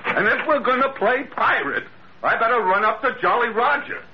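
A man's voice: extremely high pitch, expressive, moderate pace, loud music behind the voice. A male actor playing a brash, sardonic sailor sidekick in an old radio drama, casual and slangy. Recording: muffled, very noisy old radio recording.

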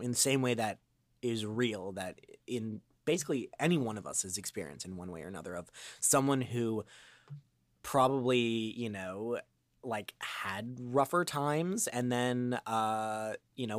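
A man chatting as an interview guest, expressive and thinking aloud in winding explanations about his craft. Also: lowish voice, no echo. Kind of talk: animated conversational interview about acting.

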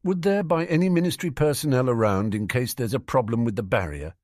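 A man voicing a sardonic, clever schoolboy wizard with a dry, teasing, deliberate manner in an audiobook. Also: mid-range voice, a little mellow, dry recording, voice pushed up, natural voice. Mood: puzzled.

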